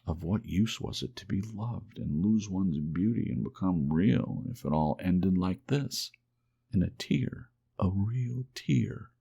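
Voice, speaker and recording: Deep husky guy doing asmr story narration, soft, really crackly voice. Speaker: audiobook narrator.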